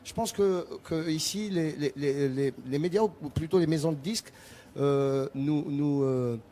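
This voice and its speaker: A male singer with Arabic as his first language talks through his answers in a TV interview, often stumbling and repeating words as he searches for phrasing. His voice is dark and mellow. Faint noise sits behind him. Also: fairly high pitch, a little slow. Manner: hesitant, many repeated words and restarts. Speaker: singer, guest.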